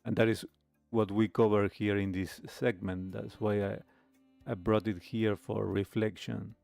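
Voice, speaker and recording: A man with a fairly low voice hosts a talk show in a reflective way. He phrases things deliberately, sometimes halting and repeating a word. The sound is very dry, with music playing behind him. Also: level tone, creaky, a little mellow, no echo. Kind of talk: reflective commentary on a video.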